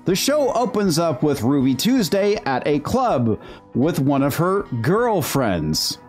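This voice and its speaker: Sarcastic, animated higher-pitched man commentator. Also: slow, music in the background. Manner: sarcastic, mocking. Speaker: video host, TV critic. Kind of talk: scripted critical commentary.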